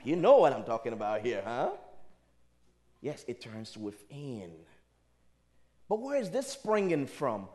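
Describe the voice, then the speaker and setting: A man's voice: fairly high pitch, a little mellow. A man from Liberia with a Liberian accent, a native speaker of a Liberian dialect, preaching emphatically to a live audience and putting rhetorical questions to them. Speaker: spiritual speaker. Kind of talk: motivational sermon to a live audience.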